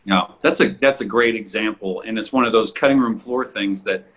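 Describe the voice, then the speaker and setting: A middle-aged man with a husky voice, hosting a casual conversational interview. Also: fairly low pitch, brisk, fairly bright tone. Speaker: host.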